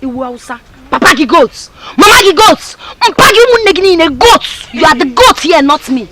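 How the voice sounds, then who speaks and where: An actress playing a quarrelsome, hot-tempered young village woman, shouting and trading insults. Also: very high-pitched, big pitch swings, normal speed. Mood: scornful. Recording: loud background noise.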